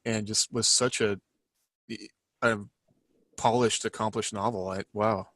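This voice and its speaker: A man's voice: lowish voice, fairly even intonation, unhurried, a bit bright, dry recording. A man who writes books, asking questions and chatting casually as a podcast co-host. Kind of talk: casual interview chat.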